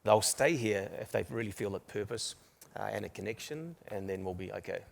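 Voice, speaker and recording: Kiwi man, low gravelly voice, candid panel speaker. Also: animated, a little fast, slight background noise. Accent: New Zealand. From New Zealand. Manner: candid, storytelling, with restarts. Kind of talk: panel discussion. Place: conference stage.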